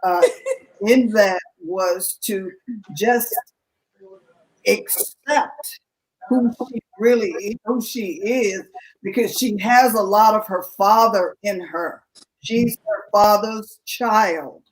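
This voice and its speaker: A middle-aged woman talks very slowly and haltingly, leaning on fillers as she reflects. Her pitch sits in an ordinary middle range. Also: low-quality recording. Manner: slow, halting, with many fillers. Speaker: pastor's wife, guest. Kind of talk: casual candid conversation.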